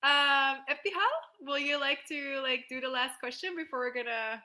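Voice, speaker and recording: Woman, facilitator, video call, bright tone, enthusiastic, casual. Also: sits high, normal speed. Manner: enthusiastic, frequent "like", laughs easily. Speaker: workshop facilitator. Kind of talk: casual group call coaching.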